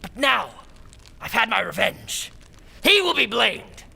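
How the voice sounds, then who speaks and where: Radio villain, played by a man: timid then cackling and shouting, sharp gravelly voice. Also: extremely high pitch, very animated, slow, heavily acted. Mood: frantic. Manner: mild and hesitant, then gleeful and shouting. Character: meek man turned cackling sadistic killer. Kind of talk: 1940s radio acting.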